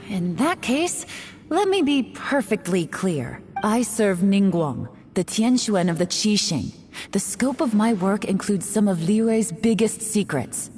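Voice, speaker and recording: A woman voicing a secretive female spy who speaks in a cool, dry, unhurried way. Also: medium pitch, lively intonation, a little mellow. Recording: game audio with music. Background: game music.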